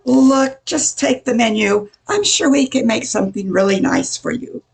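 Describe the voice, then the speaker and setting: A woman's voice: a little high, normal speed, creaky, slightly rough. An older woman acting a blunt, folksy, exasperated diner waitress in a comic radio play. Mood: impatient. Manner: folksy, weary, curt.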